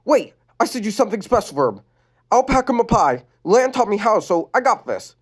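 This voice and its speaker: Lenny: a man doing a squeaky, naive teen girl. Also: very animated, brisk. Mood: hopeful. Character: sweet, naive teenage girl, easily hurt. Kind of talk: fan story reading with voices.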